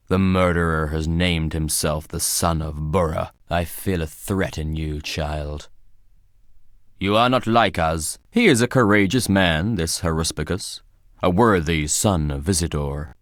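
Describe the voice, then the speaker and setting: An actor playing a weary, cryptic old town judge in a video game, delivering grave and formal pronouncements. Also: male voice, low-pitched, no echo, clean studio sound. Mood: grave, suspicious, then grave, brooding. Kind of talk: dramatic video game voice acting.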